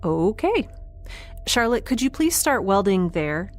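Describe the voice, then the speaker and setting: A woman's voice: mid-range voice, big pitch swings, unhurried, soft background music. A woman playing a wry, curious young spacefarer in a naturalistic science fiction audio drama, speaking casually and a bit hesitantly. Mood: concerned.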